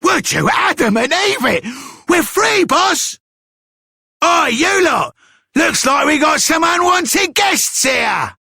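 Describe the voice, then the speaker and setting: Excitable henchman, Cockney, very high, loud, rowdy, video game. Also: slow pace, gravelly, pauses often, dry recording, studio quality, full character voice. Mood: excited, rowdy. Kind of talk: video game character acting.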